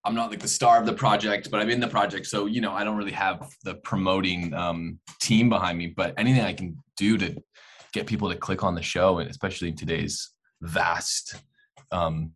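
Male podcast guest, Canadian accent, low register, enthusiastic and halting conversational delivery. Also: steady tone, a bit bright, husky. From Alberta, Canada. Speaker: interview guest, actor. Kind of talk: casual podcast chat.

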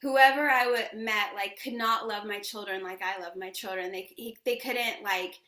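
A woman's voice: medium pitch, steady tone, normal speed, sharp tone. A woman, a yoga teacher, chatting as an interview guest, effusive and laughing often as she talks about spiritual ideas. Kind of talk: casual interview chat. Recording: roomy echo.

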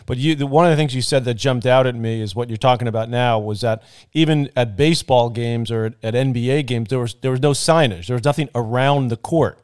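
Rambling male podcast host, smooth voice. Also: medium pitch, brisk, darkish tone. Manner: rambling, restarting questions. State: losing his voice. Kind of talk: podcast interview.

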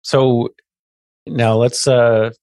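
A man's voice: mid-range voice, level tone, drawn-out words, a little mellow, dry recording, clean studio sound. A man hosting a podcast interview, asking long, rambling questions full of fillers. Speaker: podcast host. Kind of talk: conversational interview.

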